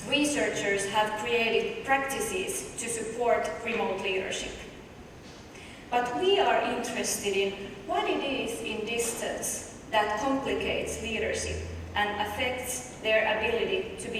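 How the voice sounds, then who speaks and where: A woman with a Finnish accent presents a research paper, her voice a touch higher than most and pitched to carry. She is heard from well back in a big hall with long reverb and a steady hiss behind her. She explains step by step and poses questions to the audience. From Finland. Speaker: conference presenter. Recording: echoey distant hall recording with noise.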